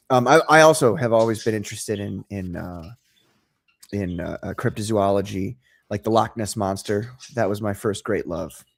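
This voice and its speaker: A man who creates comics, a guest on an interview show, telling stories eagerly and at length, often laughing. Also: lowish voice. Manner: enthusiastic storyteller, rambling, laughs easily. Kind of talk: casual interview chat.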